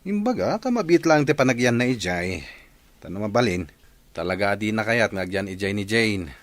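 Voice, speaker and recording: A man's voice: on the low side, expressive, moderate pace, no room sound, natural voice. A man playing a troubled, coaxing father in a radio drama, trying to persuade and placate. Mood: defensive.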